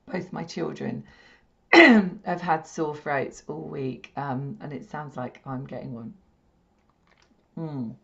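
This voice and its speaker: A woman with a slightly croaky, hoarse throat, leading a spiritual healing session in a gentle, repetitive, soothing way. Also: low-pitched, takes it slowly, pauses often. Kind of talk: slow meditative teaching talk. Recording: dull lo-fi stream audio.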